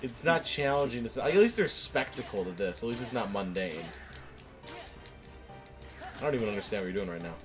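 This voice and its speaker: A man's voice: mid-range voice, expressive, a little fast, long pauses, over music. A man co-hosting a gaming show, chatting casually over gameplay in a teasing, opinionated, rambling way.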